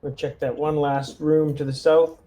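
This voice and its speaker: A man's voice: a little high, level tone, moderate pace, warm tone, slight background noise. A man playing a tabletop role-playing game, casually directing the action as a player.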